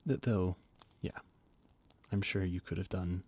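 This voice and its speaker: Low, slow, gravelly male voice actor, nervous courtly stammer, audio roleplay. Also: steady tone, a little mellow, no room sound. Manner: stammering, flustered, formal and courtly. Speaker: voice actor playing a flustered royal adviser. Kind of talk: audio roleplay, scripted monologue.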